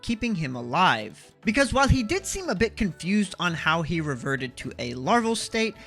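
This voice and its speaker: Bright, animated male video essay host. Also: high voice, normal speed, vocal fry. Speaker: host of a video game analysis series. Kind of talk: scripted video essay narration. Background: background music.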